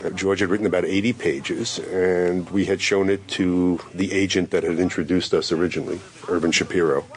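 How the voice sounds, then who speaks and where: A man with a very low-pitched voice speaks conversationally as an interviewee. He recollects past work as a film producer.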